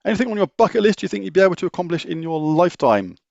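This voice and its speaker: A middle-aged man with a British accent chats through viewer questions in a matter-of-fact, rambling way, often restarting his sentences. His voice has a gravelly edge. The recording is dry and close, with a slightly dull, lo-fi tone. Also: high voice, animated, racing, darkish tone, no echo. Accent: British English. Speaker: YouTube gaming channel host answering viewer questions. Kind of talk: casual Q&A chat.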